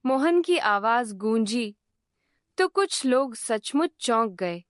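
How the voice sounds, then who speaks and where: Brief: calm woman storybook narrator, slow and dry. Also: on the high side, dry recording. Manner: calm, measured.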